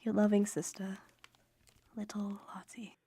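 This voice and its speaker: An actress playing a wounded, reproachful young Victorian mother in a radio drama, reading letters in formal, measured, emotive diction. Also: medium pitch, flat delivery, dark tone, husky, no room sound. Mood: anxious, pleading.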